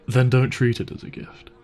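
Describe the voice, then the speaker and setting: A man's voice: medium pitch, warm tone. A man playing an apologetic, devoted boyfriend with money in an intimate roleplay audio drama, speaking earnestly and tenderly. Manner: earnest, tender, hesitant phrasing with sighs. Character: apologetic, devoted, secretly wealthy boyfriend on a dinner date. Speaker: voice actor.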